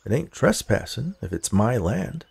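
Guy reading as a calm folksy land buyer in an audiobook, polite but pushy, husky gravelly voice. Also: medium pitch, moderate pace, full character voice. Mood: disarming. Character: smooth-talking, polite male land buyer, disarming and persistent.

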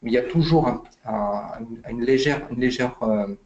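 A man's voice: mid-range voice, moderate pace, a little mellow, lo-fi sound. A man giving a webinar talk as invited expert, hesitant, with many fillers and restarts. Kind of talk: webinar explanation.

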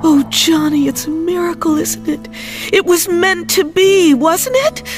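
An actress playing a tender, emotional and devout farm wife in an audio drama, speaking with fervent feeling. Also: female voice, very high-pitched, unhurried. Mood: tearful wonder. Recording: music underneath.

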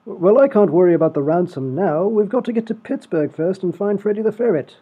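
A man as a high, husky, pompous lord. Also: brisk. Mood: haughty, brisk. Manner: formal, fussy, pompous. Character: prim, put-upon English lord, politely indignant. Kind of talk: comedy radio play acting. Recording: tinny phone-like audio, faint music.

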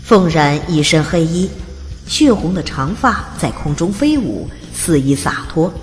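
A woman narrating an audiobook in a calm, even storytelling manner. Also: low voice, measured pace. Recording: long reverb, soft music behind.

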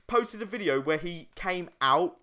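A young man chats casually as he co-hosts a gaming video. His voice is bright and a little high, swinging in pitch as he jokes crudely. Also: expressive, unhurried. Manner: jokey, crude humour. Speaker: let's play co-host.

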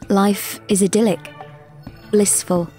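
A woman's voice: medium pitch, flat delivery, slow, warm tone, faint music behind. A woman giving scripted narration for an audio drama.

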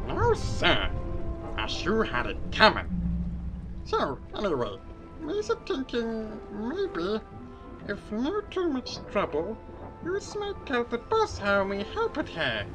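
A man voicing a cowardly, cheerful frog-like alien outcast in a comic dub, rambling excitably in pidgin baby-talk. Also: high voice, slow, heavily acted, rough recording. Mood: sheepish. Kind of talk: comic dub character acting. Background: music.

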